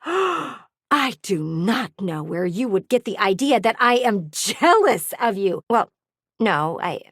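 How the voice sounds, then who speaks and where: Game role: meddling, easily offended talking-window lady. Highish, very animated, gushing, theatrical. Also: dry recording, clean studio sound, full character voice. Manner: theatrical, gushing, indignant, condescending. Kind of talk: animated video game character acting.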